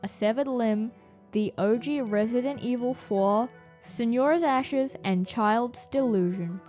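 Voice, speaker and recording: A woman's voice: fairly high pitch, unhurried, over music. A woman narrating a video about her animation work in a casual, jokey, self-deprecating way. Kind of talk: casual scripted video commentary.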